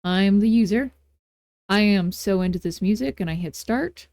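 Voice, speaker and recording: A woman records a casual screen tutorial as its host. Her voice sits at a middling pitch, captured very dry with little room sound. She talks informally, with a playful, self-deprecating manner. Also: normal speed, a little mellow, no echo.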